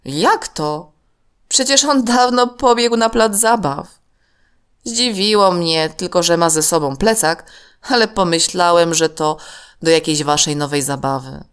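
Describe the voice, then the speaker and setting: A woman's voice: on the low side, measured pace, smooth, close to an everyday voice. A woman voicing a puzzled, friendly father in a children's story, with a questioning manner. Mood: surprised, puzzled.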